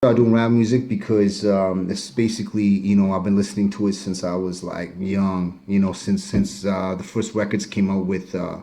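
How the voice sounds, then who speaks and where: A man's voice: low voice, flat delivery, moderate pace, few pauses, mellow. A middle-aged man, a rapper talking about himself in a casual, rambling way, full of "you know" and swearing, recorded in his kitchen. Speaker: rapper interviewee. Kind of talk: casual self-recorded interview. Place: home kitchen.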